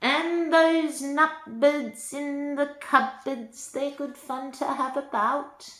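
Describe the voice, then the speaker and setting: An older woman, a grandmother, reads a rhyming children's storybook aloud in an unhurried lilt, chatting to young listeners in asides. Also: high-pitched, fairly even intonation, a bit bright. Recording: echoey room.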